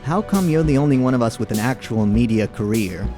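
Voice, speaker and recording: A man's voice: medium pitch, expressive, a little fast. Man reading a story aloud over music. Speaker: reader of a game readalong. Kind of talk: scripted narration and character dialogue read aloud. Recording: music behind the voice.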